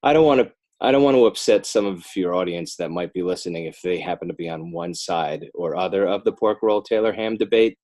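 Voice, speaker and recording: American man, slightly low voice, wry and self-deprecating, loose conversational interview guest. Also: brisk, few pauses, a little mellow. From northern New Jersey, United States. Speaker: podcast guest, animator and children's author. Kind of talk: casual joking interview chat.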